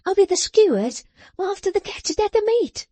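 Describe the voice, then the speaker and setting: A woman reading aloud, voicing a sharp-tongued, bossy young dressmaker girl who scolds with snapping emphasis. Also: extremely high pitch, sing-song, brisk, dry recording, voice pushed up. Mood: scolding.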